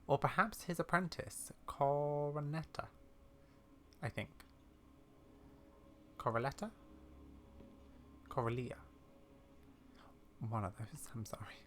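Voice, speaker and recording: A man voicing a kindly, secretive old witch granny in a game, with measured, theatrical, old-fashioned speech. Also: a little high, pushed and bright, full character voice. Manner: measured, mysterious storytelling with fond, old-fashioned turns of phrase. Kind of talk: game dialogue read aloud in character.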